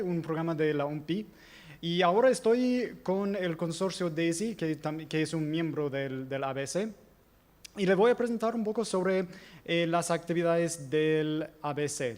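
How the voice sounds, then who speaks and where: A man's voice: on the high side, normal speed, a little mellow. A man from the United States who speaks Spanish as a second language with an American accent, giving a conference presentation with many fillers and self-corrections. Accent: American English accent in Spanish. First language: English. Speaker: invited presenter. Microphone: microphone.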